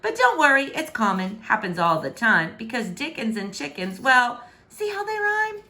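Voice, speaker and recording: A coy, stubborn young princess acted out by a woman from a storybook, wheedling with wide-eyed innocence. The voice is high and bright, with very lively intonation. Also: moderate pace, full character voice. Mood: feigned innocence. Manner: feigned innocence, hesitant, wheedling. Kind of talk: expressive children's storybook read-aloud.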